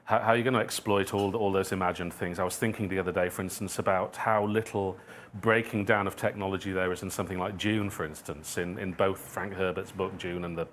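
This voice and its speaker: A man's voice: sits low, steady tone, fairly quick, a bit bright, a little hiss or hum. A man answering questions at an informal public talk as a writer, thinking aloud and often rephrasing his thoughts. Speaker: guest speaker, writer and playwright.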